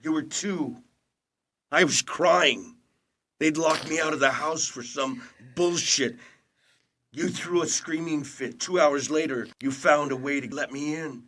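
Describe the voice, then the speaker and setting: Quietly intense estranged older brother. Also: male voice, a little high, a little slow. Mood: wounded, earnest. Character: charming, self-blaming movie star, estranged older brother. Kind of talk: intimate audio play acting.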